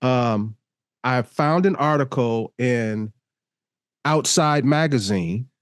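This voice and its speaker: A man's voice: mid-range voice, slow pace, smooth, no echo, clean studio sound. A man co-hosting a casual podcast, a little congested, laughing often and reading an article aloud. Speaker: podcast co-host. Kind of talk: casual podcast chat over video call.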